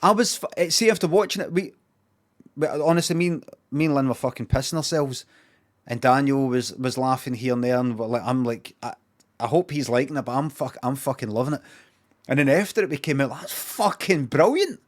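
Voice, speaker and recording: A man's voice: mid-range voice, a little fast. A Glaswegian Scottish man chatting casually on a livestream, rambling, swearing freely and repeating himself for emphasis.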